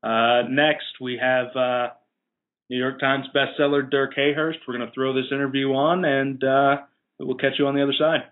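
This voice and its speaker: Male podcast host, casual sports chat, halting phrasing, mid-pitched voice. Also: level tone, narrow, tinny audio.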